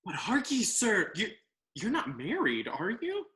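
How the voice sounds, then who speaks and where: An actor playing a smug, good-humoured English gentleman gamester in a Restoration comedy, jovial and self-satisfied. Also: high-pitched, wildly swooping pitch, measured pace, reverberant, background noise, full character voice. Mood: jovial, curious. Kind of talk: Restoration comedy stage acting.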